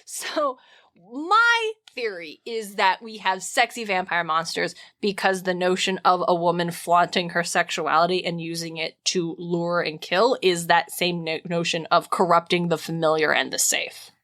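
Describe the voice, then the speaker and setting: A woman co-hosting a podcast in a casual comedic discussion, animated and opinionated. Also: lowish voice, normal speed. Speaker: podcast co-host.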